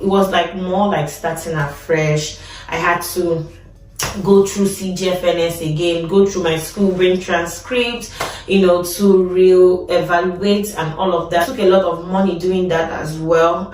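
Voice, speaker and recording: A woman's voice: on the low side, darkish tone. A woman with a Nigerian accent, a nurse giving advice in a casual vlog, talking conversationally and repeating words for emphasis. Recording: echoey room, distant from mic.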